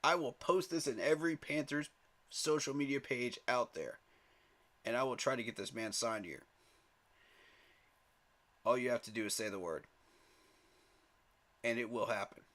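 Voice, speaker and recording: A man's voice: medium pitch, normal speed, pauses often, a bit bright. A man hosting a live sports fan stream, talking straight to his chat in an emphatic, pleading way and chuckling at rival fans.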